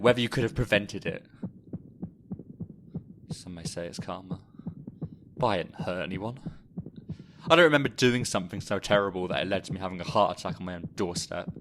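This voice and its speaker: A haunted, anxious man delivers an audio drama monologue. His voice sits on the low side, and his delivery is deliberate and brooding. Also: noisy background. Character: anxious haunted man recalling his own heart attack.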